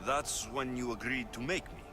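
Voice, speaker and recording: A man's voice: mid-range voice, normal speed, close to an everyday voice. An actor playing a proud, wary young male warrior in a video game, blunt, intense and questioning. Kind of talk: video game cutscene acting. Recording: music behind the voice.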